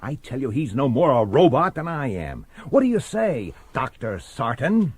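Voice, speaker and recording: An actor playing a stubborn, suspicious, hot-tempered plainclothes detective in a radio drama, with a blunt, sardonic manner. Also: male voice, medium pitch, big pitch swings, moderate pace, slightly rough, no room sound. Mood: angry, accusing.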